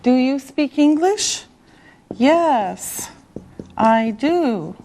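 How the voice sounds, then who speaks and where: A woman teaching an English class, speaking slowly and deliberately with clear enunciation. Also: high-pitched, expressive, mellow, a little hiss or hum. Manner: slow and deliberate, drawing out words.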